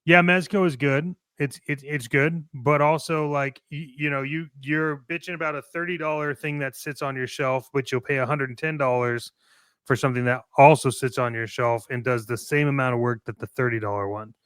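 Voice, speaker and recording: A man's voice: medium pitch, steady tone, moderate pace, fairly bright tone, clear, resonant tone, no echo. A man co-hosting a talk show in a casual, opinionated way, bluntly and emphatically, often repeating phrases for stress. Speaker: co-host of a toy collecting show.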